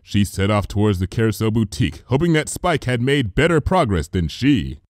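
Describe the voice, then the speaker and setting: A man reading fan fiction aloud as expressive, dramatic story narration. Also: on the low side, moderate pace, no room sound, clean studio sound.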